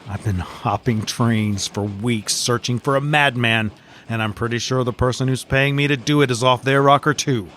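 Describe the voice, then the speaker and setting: An actor playing a gruff, obsessed man on a hunt, demanding and menacing in a clipped audio drama interrogation. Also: medium pitch, normal speed, fairly bright tone, natural voice. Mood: grim, demanding. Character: gruff, obsessed man hunting an old seafarer. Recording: noticeable background noise, train ambience.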